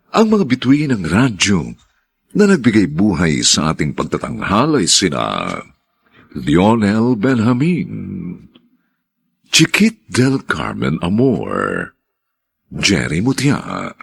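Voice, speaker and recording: A man's voice: low-pitched, gravelly, a bit bright, husky. A man with a Filipino accent giving formal scripted radio drama announcements in a dramatic, ceremonious manner.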